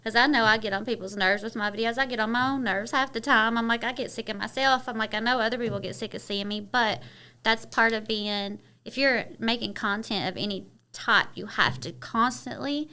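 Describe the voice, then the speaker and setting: A woman's voice: on the high side, brisk, pushed and bright, clear, resonant tone. A woman from Kentucky with a Southern twang, chatting openly as a podcast guest and using many "you know" fillers. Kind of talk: casual interview chat.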